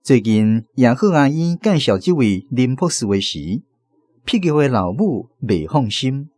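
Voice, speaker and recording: Taiwanese man narrating with lively pitch. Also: a little slow. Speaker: host and radio drama narrator. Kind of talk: scripted literary narration.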